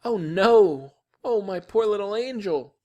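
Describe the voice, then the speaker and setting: A man reads the part of a mother in a children's story. This mom fusses over the children but is stern and firm, in a lively, moving voice. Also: mid-range voice, moderate pace, vocal fry, lo-fi sound. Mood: doting, fretful. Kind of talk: audiobook character voice.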